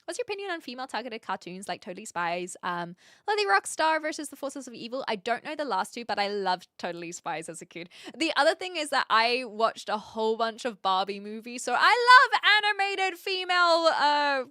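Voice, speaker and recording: A woman from New Zealand with a posh Kiwi accent, chatting casually as a livestream host in an expressive, giggly way. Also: fairly high pitch, fairly quick, no room sound, studio quality. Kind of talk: casual livestream chat, answering viewer comments.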